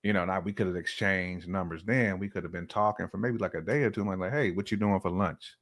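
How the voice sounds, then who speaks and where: Male podcast guest, lowish pitch, casual and slangy, conversational opinion delivery. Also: fast. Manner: informal, colloquial speech with slang.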